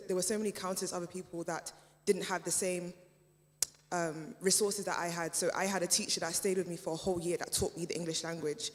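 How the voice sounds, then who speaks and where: A woman who grew up speaking German gives a considered panel answer, pausing often on fillers. Her tone stays level and even throughout. The sound carries a long reverberant tail from a church hall. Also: lowish voice. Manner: measured, hesitant, many fillers.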